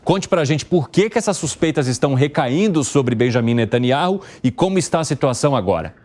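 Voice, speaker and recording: A Brazilian man anchors a live news show. His mid-pitched voice moves up and down in a very animated way. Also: fairly quick. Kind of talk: live broadcast hosting.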